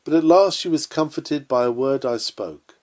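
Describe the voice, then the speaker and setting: A man's voice: medium pitch, normal speed, a little mellow. Male sermon reader, mid-range voice, earnest oratorical delivery of old-fashioned scripted text. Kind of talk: reading an old sermon aloud.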